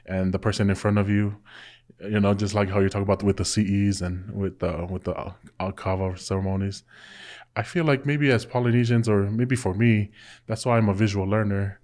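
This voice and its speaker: A man hosting a podcast, thinking out loud in a relaxed way and leaning on "you know" as he talks. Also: fairly low pitch, a little fast. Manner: reflective, fillers like "you know". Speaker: podcast host. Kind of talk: casual interview chat.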